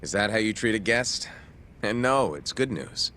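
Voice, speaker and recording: An actor voicing a stern, dry, formal prosecutor in an English game dub. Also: male voice, lowish voice, expressive, moderate pace, sharp tone, slight background noise. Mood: dry, guarded. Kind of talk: dubbed video game cutscene acting.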